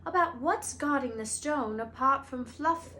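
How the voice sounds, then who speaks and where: A woman's voice: sits high, unhurried, bright, forceful tone, a little hiss or hum, voice pushed up. A woman voicing a polite, curious, earnest schoolboy from a storybook in a plain, inquiring way.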